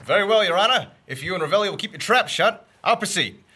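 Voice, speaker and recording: A young man playing a greedy, fast-talking male shyster lawyer who makes grand courtroom speeches full of wisecracks, in a comic radio play. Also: high-pitched, lively intonation, sharp tone, some chatter behind, slight background noise. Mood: wisecracking. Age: young adult.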